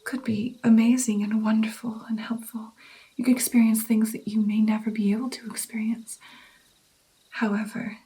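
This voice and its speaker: A woman doing whispered ASMR roleplay as a gentle, caring vampire mentor, with an intimate, breathy delivery. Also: a little high, level tone, normal speed, low-quality recording.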